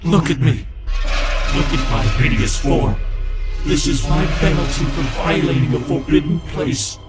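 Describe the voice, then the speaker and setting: A man voicing a doomed father turned monstrous, speaking in a solemn, measured way in an amateur manga dub. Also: mid-range voice, over loud music, lightly acted. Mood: anguished.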